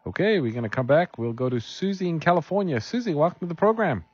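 A man with a slightly husky voice co-hosting a call-in radio show. He speaks steadily, like an announcer, and the sound is dry and dull. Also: on the high side, animated, fast talker, no echo. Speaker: radio show co-host pastor.

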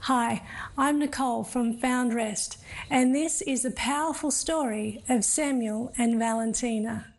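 Female host, higher voice, slow scripted intro. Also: gravelly. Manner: earnest, deliberate intro reading. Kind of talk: scripted narration.

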